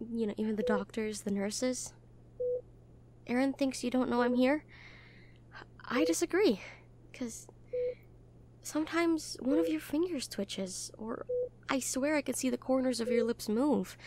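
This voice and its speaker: A woman playing a caring, protective young hospital nurse in an intimate audio roleplay, speaking gently, conversationally and a little hesitantly. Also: high-pitched. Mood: tender, soothing.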